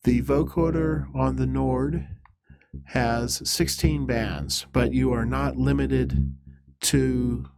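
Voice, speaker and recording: A man presenting an instructional software demo in a halting, methodical, step-by-step way. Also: medium pitch, noisy background.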